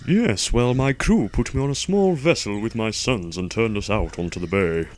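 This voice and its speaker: A man's voice: fairly low pitch, animated, moderate pace, a little hiss or hum, natural voice. A man playing a formal, declamatory sea explorer from centuries past in an audio drama. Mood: formal, wry. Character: old-time male European sea explorer, formal, aggrieved.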